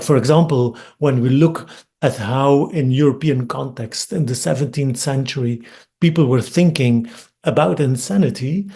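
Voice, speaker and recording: A man with a Flemish Dutch accent gives a webinar talk in a dark, gravelly voice. He speaks thoughtfully, pausing on ums and restarting phrases as he searches for words. The sound comes through a computer mic on a video call. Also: mid-range voice. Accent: Dutch-speaking Belgian. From Belgium. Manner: thoughtful, hesitant with frequent ums and repeated words. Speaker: academic speaker. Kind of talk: webinar lecture.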